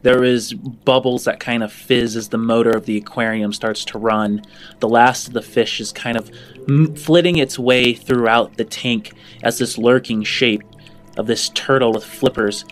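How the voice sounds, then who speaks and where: Man, game master and host, medium pitch, casual descriptive improvised narration, faint background music. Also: fairly even intonation, moderate pace.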